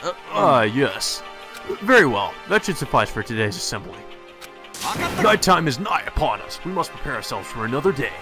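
A man's voice: mid-range voice, big pitch swings, normal speed, close to an everyday voice. A stern, easily flustered student chairman in a visual novel game, as a man acts him out. He is formal, stiff and emphatic. Music runs underneath. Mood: flustered, firm.

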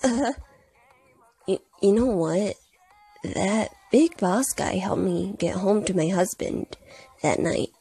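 A woman voicing a gruff, hot-tempered young male hero and devoted husband in a comic dub, blunt and sometimes hesitant. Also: medium pitch, slow, long pauses, warm tone, heavily acted, low-quality recording. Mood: reluctant, thoughtful.

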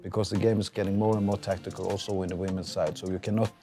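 A man in his forties from Norway with a Norwegian accent, a football coach speaking calmly in an interview, with frequent fillers and restarts. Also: low-pitched, quick pace, soft background music. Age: 40s. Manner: calm, thoughtful, many fillers and restarts. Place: outdoor stadium stands.

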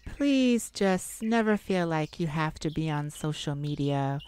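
A woman's voice: deep voice, expressive, unhurried, a little mellow, clear, resonant tone. A woman hosting an improvised ASMR-style self-care podcast, talking in an informal, reassuring way. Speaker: podcast host.

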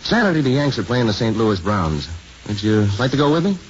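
Male actor as casual wry priest, gently probing, 1950s radio play, muffled recording, faint noise. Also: medium pitch, big pitch swings, fairly quick, lightly acted. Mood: friendly.

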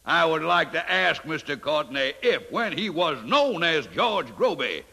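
A gravelly, forceful pompous old senator, his voice ringing in a long hall echo. He orates slyly and grandly in the florid style of fifties radio drama. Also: fairly high pitch, big pitch swings, full character voice. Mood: accusing. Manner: slow, florid, grandiose speechifying. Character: pompous, sly older male senator making a speech. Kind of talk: 1950s radio drama political oratory.

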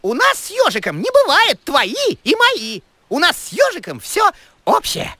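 A man's voice: very high voice, huge pitch swings, a little slow, pushed and bright, a little hiss or hum, full character voice. A man voicing a brash, stubborn young rabbit in a cartoon, with a cocky, bossy way of arguing. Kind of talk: animated cartoon dialogue.

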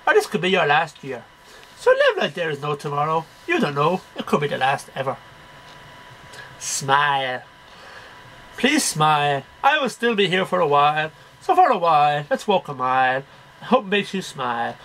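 A man's voice: on the high side, very animated, moderate pace, bright, forceful tone, a little hiss or hum, low-quality recording. A middle-aged man reading poems aloud in a declamatory, sing-song way, one line at a time. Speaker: amateur poetry reader. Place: small cluttered bedroom. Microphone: webcam at about arm's length.

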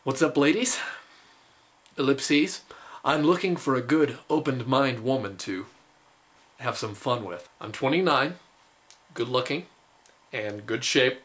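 A man in his twenties giving a comedic dramatic reading with theatrical, deliberate phrasing. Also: mid-range voice, a bit bright. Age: 20s. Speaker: comic performer. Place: small indoor room.

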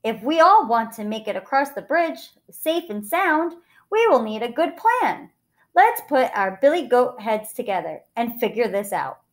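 Woman playing a big brave male billy goat, confident, defiant, unhurried, animated pitch, children's storybook. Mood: resolute.

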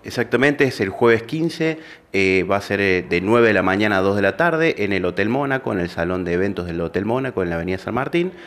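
A middle-aged man speaks in a fairly low, steady voice into a handheld reporter microphone. His delivery is official and measured, dotted with fillers, as in a local news interview indoors. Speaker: employment office coordinator.

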